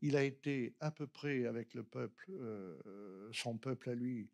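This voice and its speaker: A man's voice: mid-range voice, steady tone, unhurried, vocal fry, darkish tone. A man giving an emphatic public talk to an audience in a library auditorium. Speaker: round table speaker.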